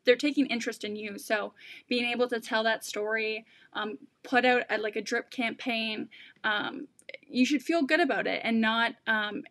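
A woman's voice: fairly high pitch, a bit bright, dry recording. A young woman from Canada hosting a video-call interview, talking in a hesitant, searching way. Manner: hesitant, lots of fillers and restarts. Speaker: host. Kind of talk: casual livestream interview. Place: room at home.

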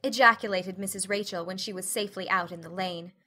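A woman's voice: medium pitch, fairly even intonation, a little fast, sharp tone. A woman reading scripted literary narration as an audiobook narrator.

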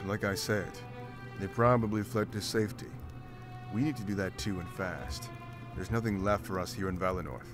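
Man voicing a low, firm beast warrior. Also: fairly even intonation, normal speed, over music, natural voice. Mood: urgent, firm. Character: big gruff pragmatic male wolf-like warrior. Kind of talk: video game voice acting.

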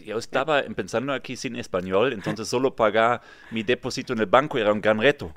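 A man in his thirties from Germany, speaking with a German accent and non-native, halting phrasing as a podcast guest. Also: lowish voice, a bit bright, dry recording. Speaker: podcast guest, real estate developer. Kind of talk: conversational storytelling interview. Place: small padded podcast studio, indoors. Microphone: studio microphone with pop filter, headphones.

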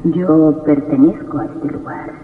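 A woman's voice: low-pitched, fairly even intonation, measured pace, slight background noise. An actress playing Death as a mysterious, solemn, serene woman with an otherworldly manner and reverb, in an old radio drama. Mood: solemn, curious. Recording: echoing, tinny, distant old radio recording.

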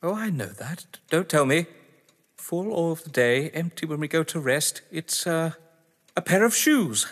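Casting: male actor as frightened, proud husband in audio drama. Theatrical, articulate, slow delivery, reverberant noisy recording. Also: a little high, lively intonation. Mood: tense, impatient. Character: desperate, frightened husband playing a deadly game, haughty and indignant. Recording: long reverb, background noise.

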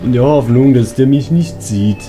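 A man's voice: mid-range voice, moderate pace. A man giving casual let's play commentary, talking to himself with crude exclamations. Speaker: let's player. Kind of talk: casual video game commentary. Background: video game music.

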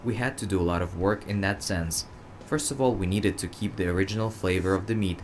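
A man with a very low voice explains his craft as an interviewed chef. A faint ambient noise sits behind him. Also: brisk.

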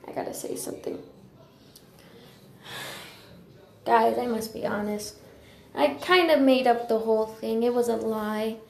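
A woman voicing an earnest, eager young apprentice cat in a childlike, pleading way, as for animated cartoon lines. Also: a little high, moderate pace, vocal fry, roomy sound. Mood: guilty. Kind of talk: character voice lines for a fan animation.

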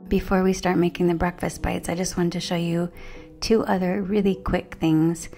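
A chatty middle-aged woman with a low, gravelly voice talks through a cooking vlog. She is friendly, easygoing and drifts off topic before pulling herself back, with soft music faint underneath. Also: level tone, normal speed. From Canada. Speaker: cooking vlogger. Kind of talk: casual cooking vlog, talking to camera and voice-over. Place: outdoors by a brick wall.

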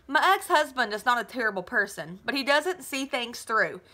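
A woman voicing a weary, gently apologetic mother of a teenager, soothing and resigned, in a novel read-aloud. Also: on the high side, animated, normal speed.

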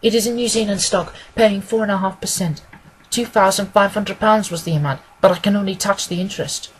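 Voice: mid-range voice, fairly even intonation, a little fast, a little hiss or hum, pitched above the performer's own voice, natural voice, poor audio. A reader voicing a nervous, good-humoured young woman client in an audiobook, earnest, deferential and rambling. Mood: chatty, earnest. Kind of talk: acted audiobook dialogue.

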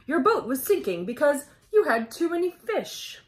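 Storybook hero: masked male sea guardian, righteous and stern, emphatic, animated delivery played by a woman. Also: high-pitched, moderate pace, pitched above the performer's own voice. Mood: stern rebuke. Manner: emphatic, declarative.

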